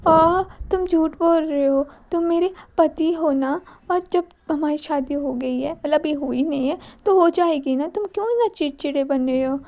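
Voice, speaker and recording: Woman voicing a childish clingy young woman; high, mellow, babyish. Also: normal speed, few pauses. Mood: pleading. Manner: babyish, speaks of herself by name.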